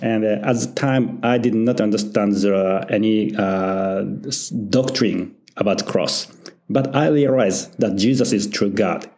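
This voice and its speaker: A middle-aged Japanese man with a Japanese accent, a pastor answering interview questions in halting English full of pauses and small laughs. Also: fairly low pitch, a little mellow. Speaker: church founder and senior pastor.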